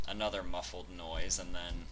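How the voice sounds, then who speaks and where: A man's voice: low-pitched, little pitch movement, moderate pace, fairly bright tone, a little hiss or hum, rough recording. A man reading scripted fan fiction narration as the storyteller.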